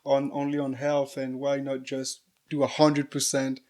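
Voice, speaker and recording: A man's voice: mid-range voice, monotone, moderate pace, a little mellow. A man from the Republic of the Congo co-hosting a casual interview, forming his questions hesitantly with restarts. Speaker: interview co-host.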